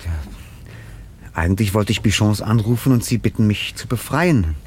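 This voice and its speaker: A man's voice: on the low side, normal speed, a little mellow, lightly acted. An actor playing an anxious, guilt-ridden man cornered over his secret theft, nervous and defensive, in a vintage German radio crime drama. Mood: uneasy, confessing. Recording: noisy old radio drama recording.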